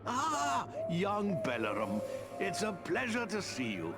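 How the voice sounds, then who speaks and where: An actor playing a kindly, eccentric old woodland wizard in a fantasy game, chatty and expressive. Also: male voice, high voice, takes it slowly, heavily acted. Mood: delighted. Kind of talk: fantasy game character acting. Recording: loud music under dialogue.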